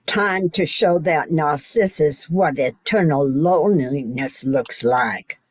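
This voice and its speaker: A woman's voice: low voice, slow pace, husky, dry recording, clean studio sound, full character voice. A woman playing a cool, menacing goddess of vengeance in an amateur noir radio drama, with some background noise.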